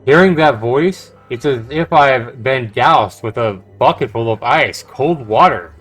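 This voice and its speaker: Expressive male game streamer, music behind. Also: mid-range voice, measured pace. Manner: dramatic reading with expressive, sweary reactions. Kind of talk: livestream let's play, reading game text aloud with commentary.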